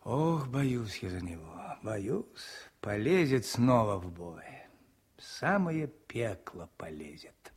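An actor playing a gruff but caring battalion commissar and editor in a Soviet radio drama, earnest and paternal. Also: male voice, fairly low pitch, sing-song, takes it slowly, darkish tone, heavily acted. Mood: worried.

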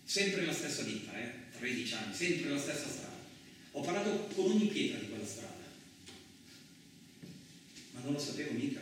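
An actor playing a rough, talkative veteran truck driver in a colloquial radio theatre monologue, with a rambling, animated way of telling his story. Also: medium pitch, natural voice. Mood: reflective, wistful. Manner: animated, rambling, with rhetorical tag questions. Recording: very echoey, distant stage recording, noisy.

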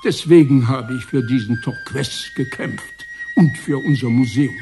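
An actor playing a mournful, proud old town dignitary in a German radio crime drama, lamenting portentously. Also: male voice, medium pitch, unhurried, dark tone, soft background music, lightly acted. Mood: mournful, grave.

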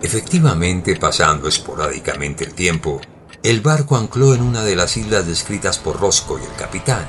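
Male story narrator, low pitch, formal literary delivery, scripted audio drama, clear ambient noise. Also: very animated, normal speed, fairly bright tone.

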